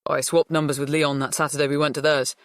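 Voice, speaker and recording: A woman's voice: sits low, little pitch movement, quick pace, dry recording, studio quality. A woman voicing an upbeat, reassuring man, coaxing his partner in an audiobook. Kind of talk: audiobook character dialogue.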